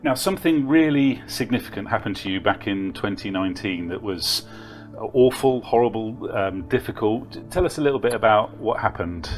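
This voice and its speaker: A man interviewing a younger churchgoer, asking gentle questions and adding encouraging affirmations. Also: on the low side, animated, normal speed, soft background music. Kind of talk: casual interview.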